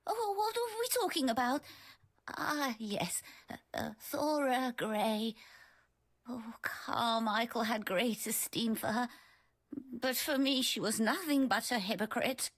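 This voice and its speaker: High-voiced, drowsy, bitter old gentlewoman. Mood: drowsy disdain. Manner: halting, trailing off, sighing. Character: drowsy, ailing, bitter older gentlewoman, suspicious and gossipy. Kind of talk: scripted game voice acting.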